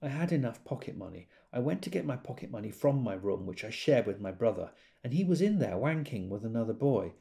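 A man playing a reflective adult recounting an anxious childhood memory in a quiet, measured audio drama monologue. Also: mid-range voice, big pitch swings, creaky. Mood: confessional.

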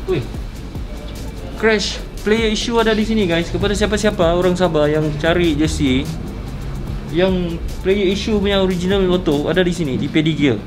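A Malaysian man with a high voice hosts a casual street vlog outside a stadium. He chats with fans in a conversational style, with clear music over the outdoor sound. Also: moderate pace. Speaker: vlog host interviewing football fans. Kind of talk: casual street interview chat. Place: outdoors around a football stadium. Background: music, traffic and outdoor bustle.

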